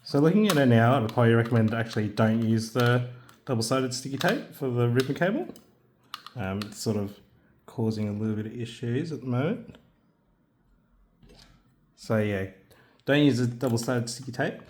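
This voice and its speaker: A man with a mid-range voice talks through assembly steps casually and carefully, in a halting, unscripted way. Faint background noise and small clicks sit behind his voice. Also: mid-range voice, expressive, darkish tone. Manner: unscripted, careful, halting while working with hands. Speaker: presenter of a hands-on assembly tutorial. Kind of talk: casual step-by-step instructional narration.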